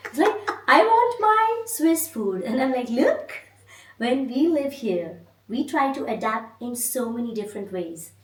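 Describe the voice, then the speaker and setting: A woman from India hosting an interview, asking measured, reflective questions. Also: high voice, big pitch swings. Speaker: podcast host. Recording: echoey room, mic at a distance.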